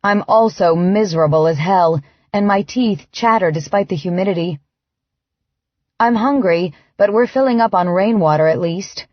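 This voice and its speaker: A woman reading first-person fiction as an audiobook narrator, in an expressive, dramatized way. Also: medium pitch, moderate pace, dry recording, dull audio.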